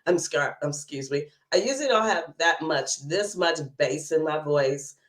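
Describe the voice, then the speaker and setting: A woman with a hoarse, cold-roughened voice hosting a live news overview and chatting with viewers. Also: sits low, roomy sound. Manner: chatty, greets viewers by name. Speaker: host.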